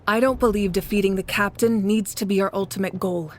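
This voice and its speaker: An actress voicing a resolute young woman leader in a video game, speaking in a measured, confident, commanding way over scripted lines. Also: mid-range voice, fairly even intonation, slight background noise, close to an everyday voice. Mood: resolute, calm.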